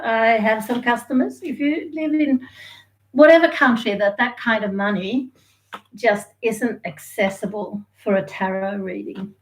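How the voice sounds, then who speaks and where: A woman's voice: mid-range voice, a little hiss or hum, rough recording. A woman, from Sweden and Australia, giving a chatty, rambling live tarot reading and laughing easily. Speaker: tarot reader.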